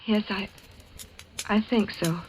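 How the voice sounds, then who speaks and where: An actress playing a frightened, fragile young woman in old radio-drama style, breathless and halting as she speaks. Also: mid-range voice, steady tone, natural voice. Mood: shaken, doubting. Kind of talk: 1940s radio acting. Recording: noisy vintage radio drama recording.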